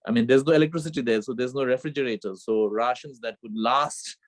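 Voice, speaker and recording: A man's voice: medium pitch, fast talker, no echo. A middle-aged man from Bhutan, a film director telling his story earnestly on a video call from home, repeating phrases and saying "you know" often. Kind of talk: remote interview, reflective storytelling. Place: home with children nearby.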